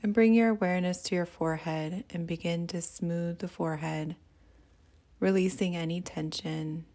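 A woman leading a guided meditation as a teacher, speaking in a soothing, gentle and unhurried way. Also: on the low side, fairly even intonation.

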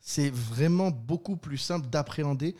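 Chatty man, animated. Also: fairly high pitch, normal speed. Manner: animated storyteller, stammering restarts, laughs often. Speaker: podcast co-host. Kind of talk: casual chat.

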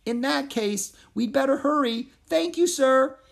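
A woman reading a storybook aloud, voicing a small, anxious but helpful male forest frog in a gentle, earnest tone. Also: sits high, unhurried, gravelly, voice pushed up. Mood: gentle, nervous. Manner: gentle, earnest, softly pleading. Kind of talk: storybook character voice.

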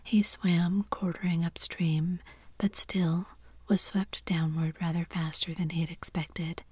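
A woman narrating a classic story in a steady, hushed whisper. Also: on the low side, unhurried, slightly rough, dry recording.

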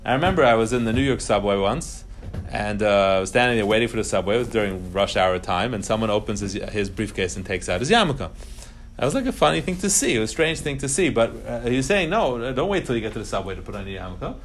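A man's voice: on the low side, lively intonation, fast, slight background noise. A man teaching an informal class on religious law, explaining emphatically, repeating key phrases and mixing Hebrew terms into his English. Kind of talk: informal lecture to students.

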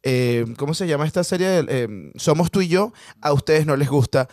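A Venezuelan man with a notably high voice, recorded very dry. He banters casually as a co-host, blunt, teasing and sarcastic. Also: animated, normal speed, a little mellow, dry recording.

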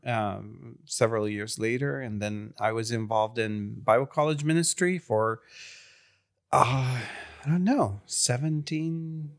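A Hungarian-accented man from Serbia, a pastor talking in an interview. He speaks slowly, with a smooth, clear voice, and stops often to restart his sentences with fillers. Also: medium pitch. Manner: halting, many fillers and restarts. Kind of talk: interview conversation.

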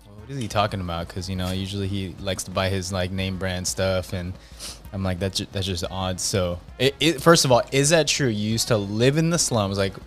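A man's voice: deep voice, steady tone, brisk, faint music behind. A man co-hosting a casual chat, curious and prodding with follow-up questions.

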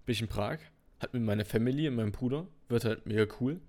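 A man's voice: mid-range voice, quick pace, dark tone. Laid-back young man, slangy chat. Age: turning 19. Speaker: podcast co-host. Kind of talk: casual chat.

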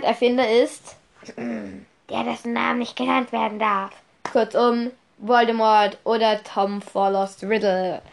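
A young woman with a cold, chatting casually on her solo podcast and rambling through her notes, spelling words out letter by letter. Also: medium pitch. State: stuffy nose from a cold. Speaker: podcast host.

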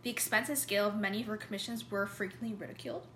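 A woman's voice: medium pitch, fairly even intonation, racing, a bit bright, slight background noise. A woman giving a casual, informal presentation, hesitant, with many fillers and restarts. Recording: echoey room.

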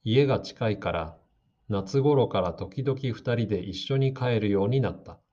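A man giving slow, calm, scripted narration for a radio drama meant for learners. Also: fairly low pitch. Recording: dull lo-fi audio.

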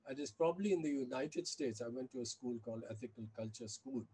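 A man, recalling things in an interview in a halting way and often correcting himself. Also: mid-range voice, mellow, slightly rough. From India, with childhood years in China and the US. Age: 70s. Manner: halting, self-correcting recollection. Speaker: interview guest, activist and former journalist. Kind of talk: reflective interview conversation.

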